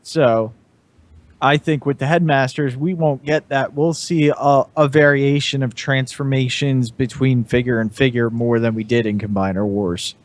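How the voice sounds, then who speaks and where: A man's voice: medium pitch, steady tone, moderate pace. A man in casual conversation as a podcast panelist. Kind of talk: casual chat.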